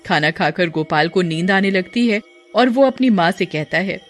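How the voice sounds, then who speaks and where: A woman's voice: on the low side, expressive, normal speed. Woman narrating a story over music. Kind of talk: scripted children's story narration.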